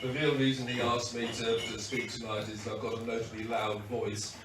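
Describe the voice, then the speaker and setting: A man with a hoarse, croaky voice gives an informal, halting launch speech with wry asides. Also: medium pitch, level tone, noisy background. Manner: halting, many restarts and fillers, wry asides. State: hoarse, losing his voice. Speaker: guest speaker at a book launch. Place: event hall with audience. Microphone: PA microphone, heard from a distance. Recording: echoey hall recorded from far off.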